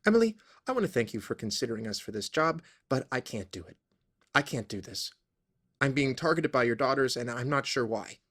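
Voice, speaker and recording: Frightened, exasperated investigator. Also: male voice, medium pitch, animated, a little fast, fairly bright tone. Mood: panicked, upset. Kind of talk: audio drama acting.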